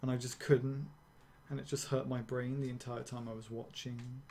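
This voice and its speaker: A young man streaming a game, rambling and ranting casually with swearing and quick laughs. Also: mid-range voice, level tone, vocal fry, warm tone, a little hiss or hum. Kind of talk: casual gameplay commentary.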